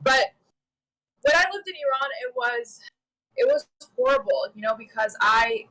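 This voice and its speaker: Young woman, US-raised, higher pitch, bright and animated, casual streamer chat. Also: moderate pace, low-quality recording. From United States. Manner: animated, with frequent "you know" and "right?". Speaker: guest commentator. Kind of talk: casual political discussion.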